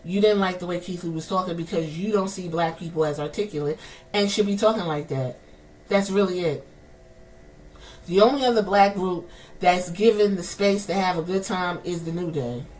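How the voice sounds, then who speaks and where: A woman's voice: on the low side, normal speed, a little mellow, far from the mic, slight background noise, low-quality recording. A woman with a New York accent, hosting a podcast and ranting in heated, profane commentary. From New York City. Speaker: podcast host.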